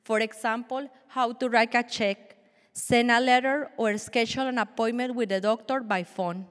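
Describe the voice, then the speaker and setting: A woman in her forties from Colombia, a native Spanish speaker with a Colombian accent, giving an earnest, measured church testimony with small restarts. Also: fairly high pitch, fairly even intonation, a bit bright. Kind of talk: prepared personal testimony. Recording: long hall reverb.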